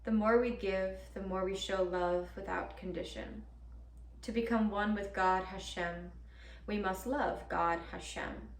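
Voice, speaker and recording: A woman's voice: mid-range voice, steady tone, unhurried, close to an everyday voice. Woman acting a nervous, chatty girlfriend, roomy sound. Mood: tender, realizing. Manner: rambling, self-correcting, nervously laughing. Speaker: actor performing a monologue. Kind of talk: theatrical comic-dramatic monologue. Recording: roomy, distant mic with background hiss.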